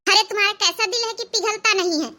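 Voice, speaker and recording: A woman's voice: very high voice, fast, bright, forceful tone. A woman voicing a bold, bossy young woman in a cartoon comedy, with an assertive, theatrical, scolding manner. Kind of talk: cartoon comedy drama dialogue.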